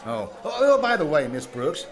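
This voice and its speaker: Bashful, oblivious schoolteacher, played by a man: hesitant, polite, very animated intonation; live radio comedy with audience. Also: fairly high pitch, normal speed, a faint crowd. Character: shy, oblivious, polite male biology teacher. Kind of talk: old-time radio comedy acting. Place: small-town theater stage, live performance. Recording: live theater stage with audience.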